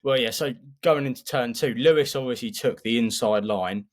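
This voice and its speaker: A man's voice: medium pitch, normal speed. A man co-hosting a motorsport podcast, analysing in a casual, opinionated and emphatic way.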